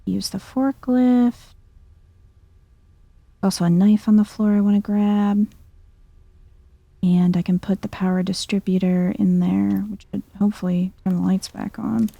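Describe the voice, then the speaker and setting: A soft-spoken woman giving chill, half-whispered ASMR commentary on a video game, thinking aloud as she plays. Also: mid-range voice, fairly even intonation, a little slow, gravelly, long pauses, no echo.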